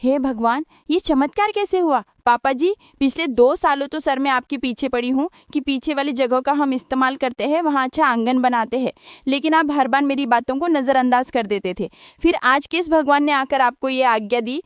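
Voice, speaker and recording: High, clear-voiced aggrieved daughter-in-law played by a woman. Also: moderate pace, few pauses, dry recording, clean studio sound, lightly acted. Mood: astonished. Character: resigned, aggrieved elder daughter-in-law and mother. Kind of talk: Hindi audio story acting.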